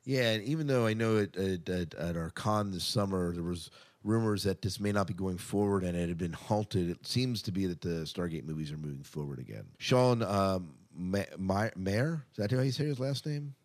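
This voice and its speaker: A man's voice: sits low, normal speed. An American man co-hosting a podcast, chatting casually through entertainment news. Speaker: podcast co-host.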